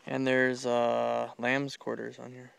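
A man's voice: mid-range voice, monotone, takes it slowly, gravelly, clean tone. A man films his children outdoors in a home garden, asking them plant names and encouraging their answers. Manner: curious, gently quizzing, encouraging. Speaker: parent filming a garden tour. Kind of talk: casual quizzing chat with children. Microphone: handheld camera while walking.

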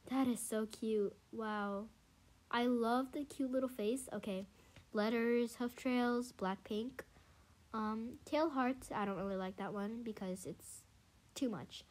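A young woman with a congested, stuffy voice, casually commenting on her gameplay, chatty and excitable with many fillers. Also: a little high, takes it slowly. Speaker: gaming channel host. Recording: faint music behind. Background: faint game music.

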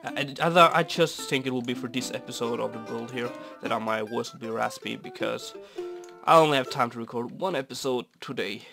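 A young man from Norway, raspy and stuffy from a cold, rambling easily with many fillers while commenting on a building game. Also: mid-range voice, fairly quick. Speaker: Minecraft builder. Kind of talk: casual gameplay commentary. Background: soft guitar music.

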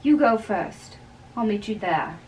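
A young woman giving a theatrical, deliberate dramatic reading of play dialogue. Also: medium pitch, expressive. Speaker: amateur reader of a play. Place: small bedroom, indoors. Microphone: camera a few metres away. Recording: distant camera in a bedroom.

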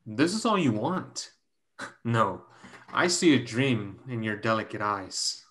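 A man's voice: lowish voice, animated, takes it slowly, fairly bright tone. A man playing an insinuating, flirtatious suitor, coaxing and needling in stage dialogue. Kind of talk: dramatic dialogue in a play reading. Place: indoors at home on a video call.

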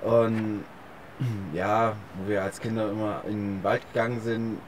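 A young man with a fairly low voice chats as an interview guest. He speaks colloquially, and there is faint outdoor noise behind him. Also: flat delivery, measured pace. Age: young adult. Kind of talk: casual reminiscing chat. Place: outdoors on a park bench by a forest.

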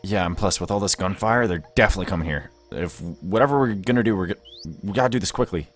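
A man playing a cautious, protective armed survivor in a Minecraft zombie roleplay, talking informally and haltingly with repeated words. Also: low-pitched, soft background music. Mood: focused. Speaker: roleplay player. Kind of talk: improvised roleplay acting in a Minecraft series.

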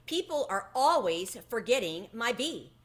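A woman's voice: fairly high pitch, very animated, unhurried, pitched above the performer's own voice. A woman voicing an outspoken, stubborn girl of almost six from a storybook, emphatic and blurting. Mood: excited, insistent. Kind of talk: children's storybook read-aloud.

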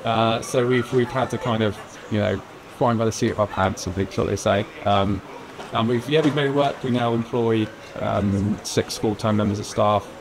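A man's voice: on the low side, fairly even intonation, normal speed. A man in his thirties with a southern English accent, speaking casually and informally in an interview as a local business owner. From Lyme Regis, southern England. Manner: informal, hedging with "kind of" and "you know". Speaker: brewery owner being interviewed. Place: outdoor courtyard by a stone wall. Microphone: lapel mic. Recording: clear outdoor street noise.

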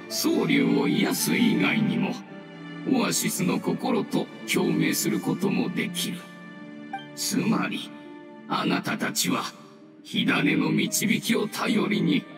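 An actor playing an aged, dying mushroom spirit in a game, solemn and humble, with grave, halting, old-fashioned elder speech. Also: male voice, high-pitched, huge pitch swings, gravelly, exaggerated voice. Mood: grave, explaining. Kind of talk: scripted game dialogue. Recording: roomy echo, distant, under loud music.

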